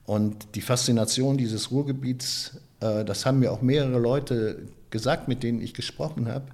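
A man from the Ruhr area, giving a reflective interview and often pausing with hesitations and restarts. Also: medium pitch, fairly even intonation, darkish tone. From Ruhr area, Germany. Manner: thoughtful, many hesitations and restarts. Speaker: interviewee, photographer and author.

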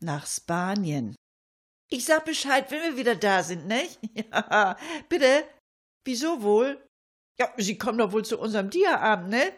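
A woman's voice: on the high side, big pitch swings, unhurried. A woman playing a chatty older lady in a comic monologue, rambling colloquially and addressing her listeners with mock indignation. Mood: chatty, wry.

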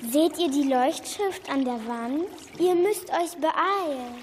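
A commanding magical sorceress, played by a child, speaks slowly and very high. Her radio-play voice rings in a long reverb with faint noise and water behind it. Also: animated. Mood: commanding, wry.

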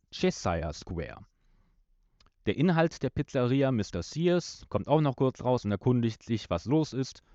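A man's voice: medium pitch, darkish tone, dry recording. Dry, slightly dull-sounding male podcast host. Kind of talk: semi-scripted plot retelling in a casual podcast.

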